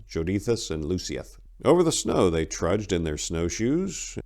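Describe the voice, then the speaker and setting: A male audiobook narrator delivers scripted fantasy prose dramatically. His voice is low, with animated rises and falls across the phrases. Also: vocal fry.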